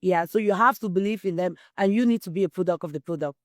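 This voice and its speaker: A woman's voice: fairly low pitch, fast talker, no echo, clean studio sound. A middle-aged woman from Cameroon, a guest in an interview, telling her personal story in an earnest, testimonial way. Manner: earnest, testimonial, quotes herself often. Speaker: guest, wellness distributor.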